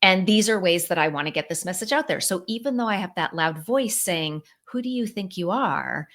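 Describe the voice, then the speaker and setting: A middle-aged woman psychologist, a podcast guest, explaining ideas in an animated, conversational way, full of fillers like "like" and "you know.". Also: on the low side, normal speed.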